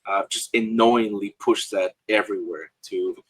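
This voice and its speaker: A man's voice: on the low side, fairly even intonation, moderate pace, a bit bright, husky, no room sound. A man from Guatemala, an author and illustrator, speaking on a panel in a hesitant way full of fillers and restarts. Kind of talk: casual panel discussion.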